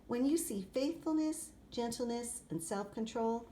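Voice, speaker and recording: A woman voicing a wise, gentle storybook grandmother who instructs her grandchild in measured phrases. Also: a little high, animated. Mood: gentle, instructive. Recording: clear background noise, room ambience.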